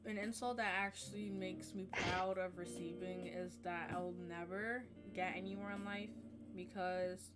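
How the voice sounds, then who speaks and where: A woman's voice: on the low side, steady tone, unhurried. Young woman, from Jamaica, podcast host, casual chat, reflective, guitar music behind. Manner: open, reflective, many likes and you knows.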